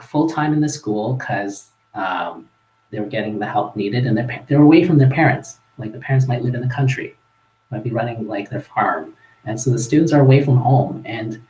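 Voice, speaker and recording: A man's voice: mid-range voice, echoey room, poor audio. A man with a Canadian accent, a guest telling stories casually, chuckling now and then and restarting his sentences. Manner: relaxed, chuckling, with frequent fillers and restarts. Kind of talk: casual storytelling in a live-streamed interview.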